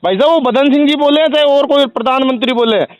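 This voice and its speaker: Male journalist, very high voice, pressing politely but persistently in a dry phone recording. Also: very fast, no room sound. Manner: insistent, repetitive, polite in address. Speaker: journalist, press union state general secretary. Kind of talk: argumentative phone conversation.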